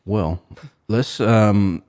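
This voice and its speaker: A man with a very deep voice hosts a studio interview. He asks loose, meandering questions, leans on fillers, and keeps the talk going with quick nods of agreement. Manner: relaxed, many fillers and short affirmations. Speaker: interview host. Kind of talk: casual interview chat. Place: music studio.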